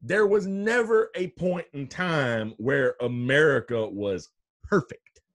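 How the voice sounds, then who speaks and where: A man with a deep voice, a podcast guest making his case in casual political chat, emphatic and often checking in with "okay?". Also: slow pace.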